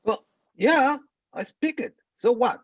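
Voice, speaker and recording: A man's voice: very high voice, expressive, slow pace, breathy edge, exaggerated voice. A man playing a lackadaisical, cynical army veteran in a screenplay table read, dismissive and laid-back. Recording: muffled, echoey room sound.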